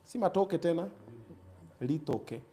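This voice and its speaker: A man's voice: on the high side, expressive, measured pace, dark tone. A man with a Kenyan accent hosting a talk show, teasing his guest playfully and mixing English with Swahili slang. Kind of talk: casual teasing chat.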